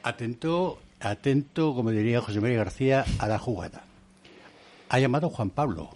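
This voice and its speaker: A man from interviewing a guest in a relaxed conversation, asking questions with playful, joking asides. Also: mid-range voice, normal speed. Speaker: interviewer, writer.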